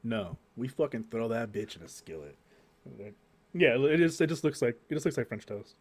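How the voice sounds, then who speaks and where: An American man co-hosting a podcast in casual group chat, joking and swearing as he tells animated stories. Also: mid-range voice, normal speed. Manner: profane, joking, animated storytelling. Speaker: podcast co-host.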